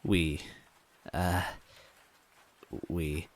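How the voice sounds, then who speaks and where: A man voicing a grieving young toad girl, halting and emotional, in a story reading. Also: low voice, level tone. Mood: drained, grieving.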